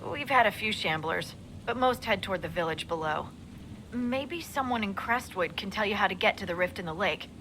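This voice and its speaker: A woman's voice: medium pitch, expressive, normal speed, background noise, natural voice. An actress voicing a wry, practical scout who briefs her leader matter-of-factly. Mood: dry. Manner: dry, matter-of-fact. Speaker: scout reporting to the Inquisitor.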